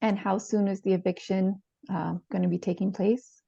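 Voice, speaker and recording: A woman plays a calm therapist in a dramatized session, asking probing questions in measured phrases. Her voice is mellow with plenty of vocal fry, in a dull, lo-fi recording. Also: medium pitch, no echo. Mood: calm, inquiring. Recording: dull lo-fi sound.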